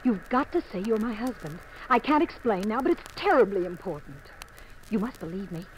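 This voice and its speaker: A woman's voice: mid-range voice, big pitch swings, brisk, close to an everyday voice, low-quality recording. An actress playing an urgent, secretive young woman in a 1950s radio drama. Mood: urgent, pleading. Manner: pleading, insistent. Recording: old radio recording, faint noise.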